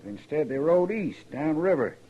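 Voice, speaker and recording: An actor playing a rustic, indignant Old West stagecoach driver with plain folksy speech in a radio drama. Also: male voice, a little high, sing-song, normal speed. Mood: matter-of-fact. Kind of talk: 1950s western radio drama acting. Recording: lo-fi old radio, loud crowd behind.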